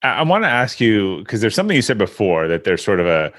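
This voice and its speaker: A man's voice: lowish voice, very animated, fast talker, fairly bright tone, dry recording, studio quality. A man hosting a conversational interview, thinking aloud and often restarting his phrases as he frames a point. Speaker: interviewer, host.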